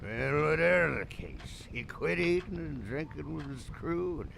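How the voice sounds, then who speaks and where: A drunk old sea dog, gravelly, voice swooping all over, ominous horror radio play, some noise behind. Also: male voice, on the high side, lively intonation, a little slow, fairly bright tone, heavily acted. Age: older. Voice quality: gravelly, creaky. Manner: theatrical, ominous, salty old-sailor phrasing. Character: drunk old Irish-named seaman, grim tavern storyteller. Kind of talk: theatrical horror audio drama.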